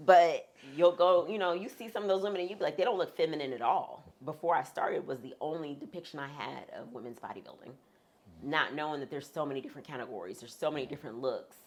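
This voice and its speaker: A woman speaking frankly as a guest in a sit-down interview, talking with humorous asides in a deep, husky voice. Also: fast, a little mellow. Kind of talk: candid sit-down conversation.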